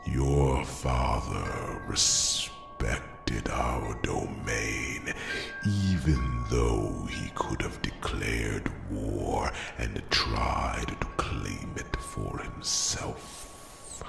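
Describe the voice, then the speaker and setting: Extremely deep, gravelly dragon king. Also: lively intonation, exaggerated voice. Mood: solemn, proud. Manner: slow, halting, solemn storytelling. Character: old male dragon, former Alpha king, solemn and regretful. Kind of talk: ASMR fantasy roleplay. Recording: echoing voice over music and chimes.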